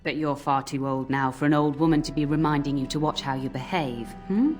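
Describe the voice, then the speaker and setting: A low-voiced old nanny from a fantasy game, acted by a woman, speaks over music. She scolds fondly and tells cautionary tales like a seasoned storyteller. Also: brisk, darkish tone, lightly acted. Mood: gently admonishing. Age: older. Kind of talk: scripted game voice acting, storytelling. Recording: music under the voice.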